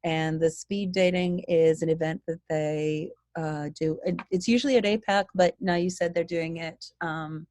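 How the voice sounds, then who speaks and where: A woman with a fairly low voice hosts a live online Q&A. She is chatty and encouraging, with laughs between her thoughts and a casual, easy way of talking. The mic sound is dry. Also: fairly even intonation, moderate pace, few pauses, a little mellow, dry recording. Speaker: host. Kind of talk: live video Q&A hosting.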